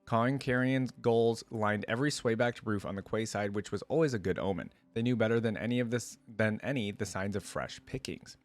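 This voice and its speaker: A man with a fairly low voice hosts a reaction video. He reads narrative prose aloud and comments in a casual, slangy way. The sound is very dry, with no room around it. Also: a little fast, few pauses, no echo.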